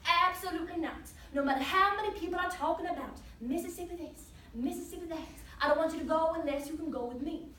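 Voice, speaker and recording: A woman's voice: very high-pitched, fast talker, few pauses, bright, forceful tone. A woman performing a dramatic monologue as a devoted, grieving mother, telling her memories in a solemn, tender way. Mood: stern, worried. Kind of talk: competitive dramatic interpretation monologue. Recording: roomy, distant mic, faint noise.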